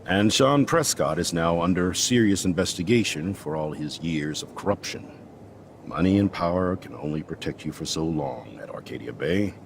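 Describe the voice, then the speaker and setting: Very deep, gravelly school principal. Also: male voice, normal speed, a little hiss or hum. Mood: confiding, genial. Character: jovial, self-important middle-aged male school principal. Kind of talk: video game dialogue.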